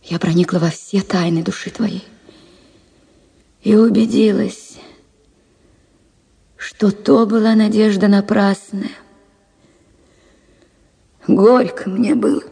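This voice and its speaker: An actress playing a heartbroken married woman reading her farewell letter to a lover in a radio drama, in a hushed, slow, confessional way. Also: medium pitch, steady tone, creaky. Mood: sorrowful, resigned. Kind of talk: Russian literary radio drama, letter monologue. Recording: music under the voice. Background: music.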